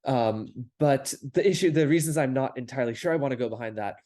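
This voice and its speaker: A young man with a middle-pitched voice and an American accent, raised in Paris, talks as a guest on a casual podcast. He is articulate and reflective and builds his thoughts into long, flowing answers. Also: fast, dark tone. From France, grew up in Paris. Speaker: podcast guest, startup founder. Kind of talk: casual conversational interview.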